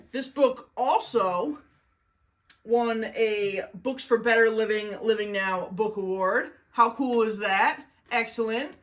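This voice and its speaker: A woman's voice: fairly high pitch, a bit bright. A woman hosting a children's story-time show, talking to kids in a playful, enthusiastic way. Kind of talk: children's book reading and review.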